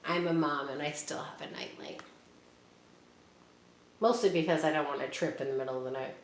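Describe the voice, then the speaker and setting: A woman reading a picture book aloud to children, stopping often for gentle, chatty asides. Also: deep voice, moderate pace. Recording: echoey room with faint hiss.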